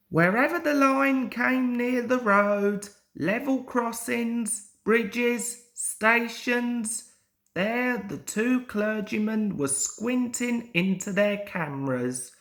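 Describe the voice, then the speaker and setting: A young man reads a children's story aloud as an amateur storyteller. His pace is very slow and deliberate, and his expressive pitch moves about in a lively way. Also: gravelly. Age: young adult.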